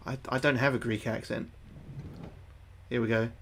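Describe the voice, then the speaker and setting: A man's voice: medium pitch, level tone, normal speed, fairly bright tone, slight background noise. A young man livestreaming a game, thinking aloud to his chat, sweary and repeating himself. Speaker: livestreaming gamer. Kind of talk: casual gameplay commentary to chat.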